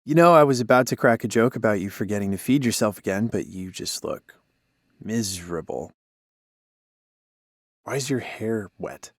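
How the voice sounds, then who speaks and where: A man's voice: on the low side, expressive, normal speed, pauses often, no echo, studio quality. An actor playing a worn-down male radio DJ who talks in easy, teasing banter.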